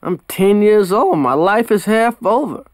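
A narrator voicing a restless, excitable young male cartoon zebra with emphatic delivery, for a children's storybook. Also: medium pitch, a little slow, no room sound, clean studio sound, voice pushed up, close to an everyday voice. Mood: dejected, venting. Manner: animated, emphatic.

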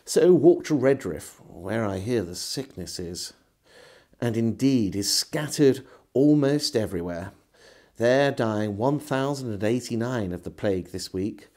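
This is a middle-aged man with an English accent, narrating a historical diary. His voice sits at an average male pitch and moves very expressively up and down. The delivery is measured and literary, in the manner of an old-fashioned storyteller. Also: animated, warm tone. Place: small indoor room lined with shelves.

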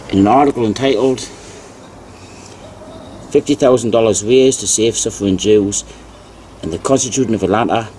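A man with a fairly low voice reads old newspaper articles aloud and adds plain commentary. His delivery is deliberate, and he repeats key phrases. A faint background noise runs under the voice. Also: warm tone, poor audio. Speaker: video narrator.

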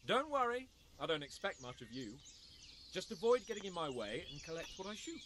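A man's voice: sits high, big pitch swings, fairly quick, fairly bright tone. A man playing a haughty, flippant young medieval nobleman who gives orders with condescension, in a video game. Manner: condescending, imperious. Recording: clear outdoor nature noise behind. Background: birdsong and outdoor ambience.

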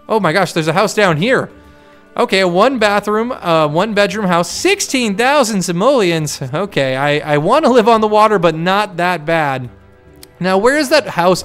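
A young man with a higher voice chatting casually and thinking aloud as he hosts a game playthrough. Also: a bit bright. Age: young adult. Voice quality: higher-pitched, clear smooth voice. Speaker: gaming video host. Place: small home room, indoors. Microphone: desk microphone close to mouth. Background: game music.

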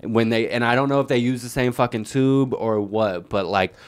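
Young American male co-host, lower register, clear tone, loose sweary chat peppered with "like", recorded dry. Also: steady tone, moderate pace, no echo. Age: young adult. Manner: slangy, heavy use of "like" and swearing. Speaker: podcast co-host. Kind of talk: casual comedic chat. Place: living room indoors, by a fireplace. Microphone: handheld microphone held close.

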